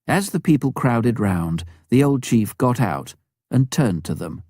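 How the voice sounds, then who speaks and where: A man reading a missionary story as an audiobook narrator, with a measured storytelling delivery. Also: medium pitch, big pitch swings, mellow, no room sound, clean studio sound.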